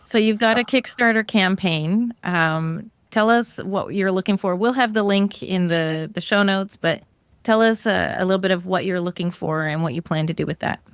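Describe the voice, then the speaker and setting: A woman's voice: medium pitch, moderate pace, smooth, no room sound. A woman hosting a podcast interview in a casual, conversational style. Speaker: podcast host.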